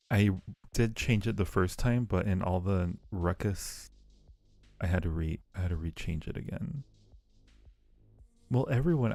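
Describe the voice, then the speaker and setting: A man's voice: lowish voice, gravelly, a little mellow, no room sound. A man hosting a casual livestream, chatting playfully and affectionately with viewers in a rambling way, often repeating phrases. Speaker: livestream host.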